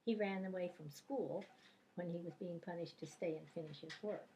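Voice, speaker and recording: A woman's voice: fairly low pitch, normal speed, warm tone, a little hiss or hum, low-quality recording. An older woman, a retired teacher, reminiscing about her life in a casual interview, telling rambling stories full of fillers and restarts. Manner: rambling anecdotal storyteller, many fillers and restarts.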